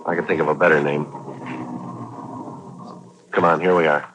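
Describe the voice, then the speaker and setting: An actor playing a terse, businesslike American police detective with clipped, deadpan delivery in a 1940s radio drama, on an old radio recording. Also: male voice, a bass voice, normal speed. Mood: terse, grim. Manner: clipped, matter-of-fact, deadpan. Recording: faintly noisy 1949 radio recording.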